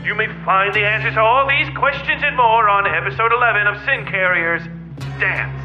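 A man's voice: sits high, moderate pace. A man announcing a scripted serial teaser in a theatrical, suspenseful manner. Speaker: announcer of next-episode preview. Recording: dull lo-fi radio-like sound, music behind.

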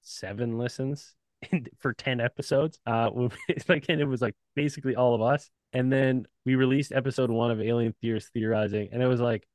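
A man's voice: medium pitch, fairly even intonation, fairly quick, a little mellow, dry recording. A man chatting casually as a podcast guest, laid-back and profane, laughing often between thoughts. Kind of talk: casual chat.

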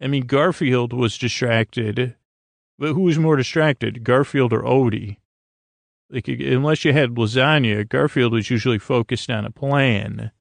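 A man with a creaky, medium-pitched voice hosts a bedtime podcast. He wanders whimsically from thought to thought, hesitating and dropping sentences halfway. The sound is very dry, with no room around it. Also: no echo. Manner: meandering, hesitant, halting, trailing off mid-sentence. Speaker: host of a sleep podcast. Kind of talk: rambling whimsical bedtime monologue.

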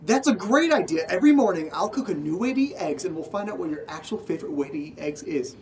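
A man's voice: sits high, sing-song, quick pace, a little mellow. An actor as a chirpy guy in an audio drama, breezy and oddly carefree. He talks like an easygoing housemate. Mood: chirpy, amused. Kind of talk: audio drama acting, naturalistic.

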